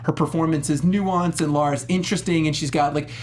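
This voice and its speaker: Male co-host, rapid delivery, raised pitch, slangy sarcastic movie banter with profanity. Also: steady tone, slight background noise. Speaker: podcast co-host. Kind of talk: casual movie review chat.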